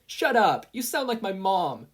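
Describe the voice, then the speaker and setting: Angry teen boy rival, voiced by a narrator: snapping, husky, gruff, short-fused. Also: medium pitch, sing-song, unhurried, pitched above the performer's own voice. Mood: irritated. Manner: snapping, grunting. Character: hot-tempered, gruff teenage boy rival.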